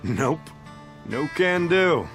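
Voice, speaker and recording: A cocky, brawling spirit. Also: male voice, fairly high pitch, very animated, extremely slow, a bit bright, faint music behind, exaggerated voice. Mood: brash. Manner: rough, swaggering, blunt. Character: brash male wind spirit, cocky brawler.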